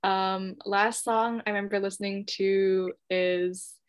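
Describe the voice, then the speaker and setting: Young woman from Hong Kong, Cantonese native, relaxed chatty interview guest who laughs easily. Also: medium pitch, takes it slowly, smooth. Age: young adult. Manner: chatty, laughs often, many fillers. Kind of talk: casual interview chat.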